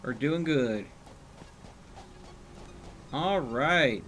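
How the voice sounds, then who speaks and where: A man's voice: mid-range voice, animated, extremely slow, a bit bright. Casual man streaming a game, light background noise. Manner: thinking aloud, explaining numbers. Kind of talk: casual chat while gaming.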